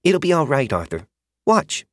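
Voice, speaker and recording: A man putting on a flustered young woman who rides dragons, high and breathless, apologetic and quick to reassure, her voice thinned and shifted away from his own timbre. The recording is dry and close. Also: dry recording. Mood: kind. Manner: anxious, apologetic, quickly talking herself calm. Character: young female dragon rider, flustered but kind. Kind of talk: audiobook character voice.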